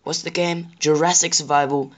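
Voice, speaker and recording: A man with a sore throat and a slightly rough voice, chatting casually to viewers while he plays a survival game. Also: a little high, steady tone, moderate pace, a little hiss or hum. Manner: chatty, apologetic, addresses viewers directly. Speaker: gaming channel host. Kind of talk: casual gameplay commentary.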